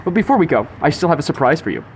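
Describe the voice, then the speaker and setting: A man's voice: on the high side, big pitch swings, very fast. A man hosting a podcast in a wordy, mock-formal comedic style. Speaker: podcast host. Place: local restaurant. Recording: faint background noise of public place.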